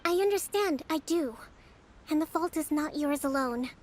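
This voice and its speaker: A woman voicing a calm young female leader of an island army, speaking in a composed, measured, authoritative way. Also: high-pitched, slight background noise. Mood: gentle. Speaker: game character. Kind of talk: video game voice acting. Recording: game audio with faint music.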